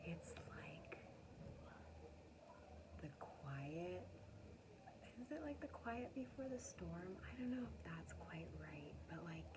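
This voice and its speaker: A woman doing a casual, spontaneous intuitive tarot reading, with dramatic, drawn-out phrasing and pauses. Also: on the low side, background noise. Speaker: tarot reader.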